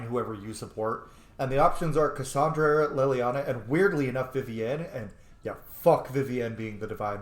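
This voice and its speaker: A man giving casual, sweary game commentary as the host of a gaming channel. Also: mid-range voice. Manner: animated, sweary. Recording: echoey room.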